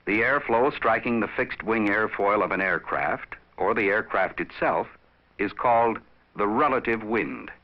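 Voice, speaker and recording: A man reading scripted instructional narration for a training film in a measured, explanatory, didactic manner. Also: on the low side. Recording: dull vintage training film soundtrack.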